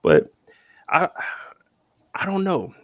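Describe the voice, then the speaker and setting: A man hosting a casual chat about a TV episode, relaxed and slangy, asking follow-up questions. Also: a little high, slow, no room sound. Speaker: host.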